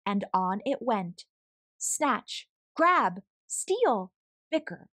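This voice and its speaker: Lady doing kids story read aloud, slow n really animated, dry close sound. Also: a little high, no echo. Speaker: children's story reader. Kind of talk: expressive picture book read-aloud.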